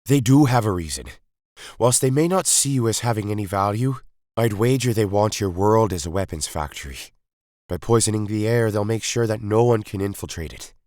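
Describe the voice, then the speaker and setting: A man's voice: lowish voice, expressive, normal speed, darkish tone, no room sound, clean studio sound, pitched above the performer's own voice, close to an everyday voice. A man voicing a grave, emphatic Time Lord hero in an audio story, urgent and commanding.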